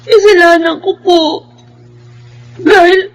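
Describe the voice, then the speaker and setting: Radio drama casting: vulnerable young Filipino woman, meek and plaintive, extremely high voice. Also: steady tone, takes it slowly, slight background noise, exaggerated voice. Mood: tearful, ashamed. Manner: plaintive, emotional.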